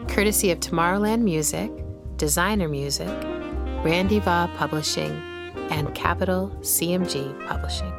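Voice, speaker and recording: A woman host reading scripted closing credits in narration style. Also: fairly low pitch. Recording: music under the voice. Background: music with guitar.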